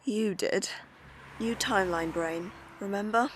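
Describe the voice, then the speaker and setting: Woman acting soft, wistful colleague, medium pitch. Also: measured pace, a little hiss or hum, natural voice. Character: kind, wistful female colleague. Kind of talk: audio drama acting.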